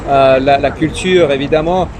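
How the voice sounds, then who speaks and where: A shy young man from Iran, whose first language is Farsi, talks through a relaxed interview, stumbling over fillers and repeated words. His voice sits a little high. Loud city traffic and street noise run underneath. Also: moderate pace. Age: young adult. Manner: timid. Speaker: interview guest, young politician. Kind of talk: casual interview conversation. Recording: noisy street and traffic ambience.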